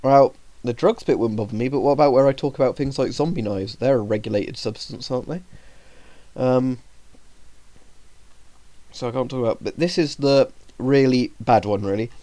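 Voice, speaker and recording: A man's voice: medium pitch, normal speed, dark tone, dry recording. A young man with a cold, breathing heavily, chatting to his viewers in a rambling, filler-heavy way and growing indignant over the rules. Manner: indignant when reading rules aloud. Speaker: YouTuber talking to viewers. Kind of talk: casual vlog commentary.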